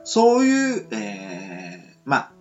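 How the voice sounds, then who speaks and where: A man's voice: lowish voice, huge pitch swings, very slow, dull audio, faint music behind. A man co-hosting a casual radio chat, rambling with many fillers. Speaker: radio show co-host.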